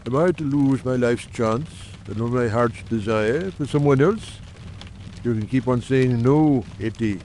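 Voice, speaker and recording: A man's voice: mid-range voice, slight background noise, voice pushed down, natural voice. Man playing a bold, coaxing young suitor. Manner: cocksure, insistent, flattering.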